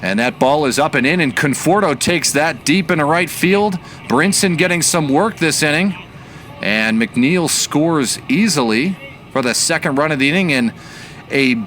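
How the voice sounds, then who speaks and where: A man giving baseball play-by-play commentary as the announcer, measured and analytical in his delivery. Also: a little high, a bit bright, slight background noise.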